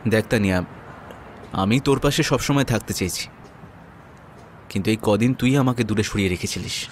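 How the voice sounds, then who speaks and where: A man narrating a first-person audio story and acting its dialogue, in a measured, earnest way. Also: fairly low pitch, a little hiss or hum. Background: new-age music.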